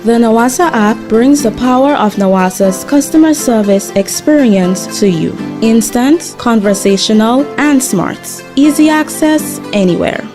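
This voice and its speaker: A woman reading a radio commercial in a smooth, polished corporate manner. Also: fairly high pitch. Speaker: ad announcer.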